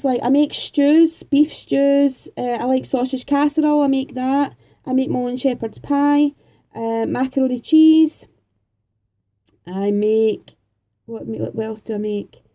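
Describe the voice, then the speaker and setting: A woman's voice: high-pitched, a little slow, warm tone. A Scottish woman in her forties chatting casually and rambling a little as she answers viewer questions. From Scotland. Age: 40s. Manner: chatty, rambling, homely, with frequent fillers. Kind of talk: casual chat.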